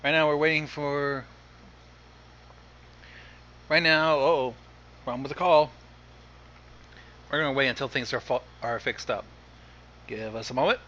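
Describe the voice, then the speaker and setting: A man co-hosting a livestream with casual commentary in a halting, self-correcting way. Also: medium pitch, sharp tone. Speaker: livestream co-host. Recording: muffled, noisy computer mic.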